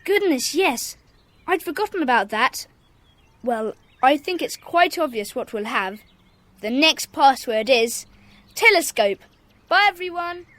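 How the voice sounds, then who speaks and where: A woman playing a brisk, sensible schoolboy club leader in a children's audio drama. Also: sits high, animated, fairly bright tone, no echo. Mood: thoughtful, brisk.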